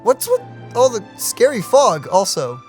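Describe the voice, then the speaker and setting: A woman casually reacting to a video game as a streamer, expressive and incredulous. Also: fairly high pitch, unhurried, soft background music.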